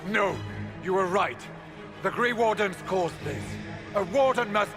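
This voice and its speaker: An actor playing a proud, noble male warrior in a video game, forceful and formal in delivery. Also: sits high, measured pace, music in the background. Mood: grave, resolute. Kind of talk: dramatic video game cutscene acting.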